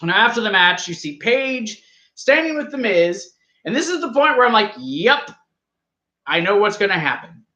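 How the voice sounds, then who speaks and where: A man's voice: high voice, sing-song, normal speed, sharp tone, echoey room. A man casually reviewing a wrestling show, rambling and joking as he argues with his co-host. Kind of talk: casual live review chat.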